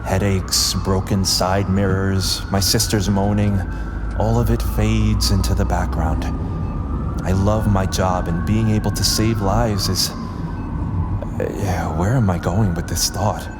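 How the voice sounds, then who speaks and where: An actor playing a distracted, ailing paramedic driver in an audio drama, thinking aloud in a strained, anxious inner monologue. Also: male voice, low voice, steady tone, takes it slowly. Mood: weary, reflective. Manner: anxious, muttering to himself. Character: distracted, ailing male paramedic driver racing to save a life. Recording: loud music and vehicle noise behind. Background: music, moving vehicle.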